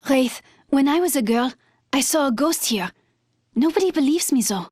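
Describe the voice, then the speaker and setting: A woman's voice: high-pitched, expressive, normal speed, darkish tone, dry recording, studio quality. An actress voicing an earnest, eager young woman engineer.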